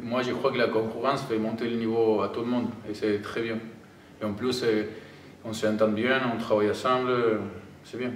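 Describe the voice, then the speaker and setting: Halting Argentine-accented man in an echoey room. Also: on the low side, level tone. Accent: Argentine Spanish. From Argentina (Tucumán, in the north). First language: Spanish. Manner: halting, searching for words in non-native French. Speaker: professional rugby player. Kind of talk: press conference answers. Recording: roomy, distant microphone, audible background noise.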